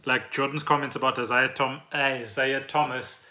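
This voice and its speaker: A South African man chats as a podcast co-host. His voice is strikingly bright and forceful, at an ordinary male pitch. He explains things informally, as if to a friend. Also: level tone, normal speed. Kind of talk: casual chat about trending news.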